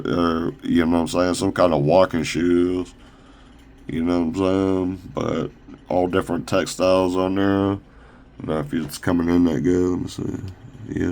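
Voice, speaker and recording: Deep-voiced man, rambling and halting. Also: creaky, darkish tone, a little hiss or hum. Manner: halting, loose, full of fillers and restarts. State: slightly raspy from long talking. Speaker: independent shoe designer showing his own designs. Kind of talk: casual rambling product showcase. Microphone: phone camera.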